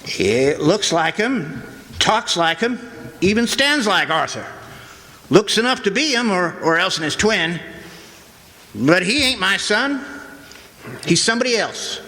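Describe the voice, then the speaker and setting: A man playing an ashamed old father with halting, folksy rural speech in a radio drama. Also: high-pitched, very animated, sharp tone, heavily acted. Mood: grave, reluctant. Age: older. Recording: echoey hall, noisy stage pickup with clicks.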